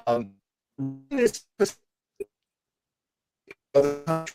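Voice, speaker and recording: A man's voice: a little high, sing-song, very slow, a little mellow, soft background music. A man co-hosting a casual fan podcast, talking in an informal conversational way. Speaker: podcast co-host.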